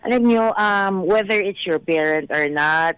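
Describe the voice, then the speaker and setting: A man's voice: sits high, unhurried, a bit bright, smooth, no echo, rough recording. A man with a Filipino accent co-hosting a radio show, chatting casually and giving advice with English phrases mixed in. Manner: conversational, mixes in English phrases. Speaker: radio co-host. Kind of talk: casual radio talk, advising listeners.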